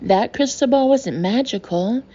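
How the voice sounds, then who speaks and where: A woman's voice: a little high, lively intonation, a little slow, warm tone, breathy edge, voice pushed up. A woman voicing a determined young girl who speaks firmly and accusingly. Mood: angry. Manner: firm, accusing. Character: clever determined girl, angry and defiant. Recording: dull lo-fi with faint hiss.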